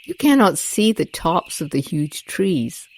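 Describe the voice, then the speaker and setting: A woman guiding a meditation with a gentle, deliberate, calming delivery and long pauses. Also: on the low side. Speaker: guided meditation host. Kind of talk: soothing guided meditation narration. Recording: nature ambience with birds and crickets. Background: rainforest sounds, birdsong and crickets.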